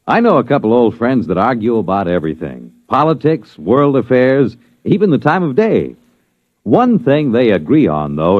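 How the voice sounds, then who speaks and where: A man's voice: mid-range voice, no echo. A man with an American accent announcing from a script in polished, dramatic 1950s radio style. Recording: dull old radio recording, faint music.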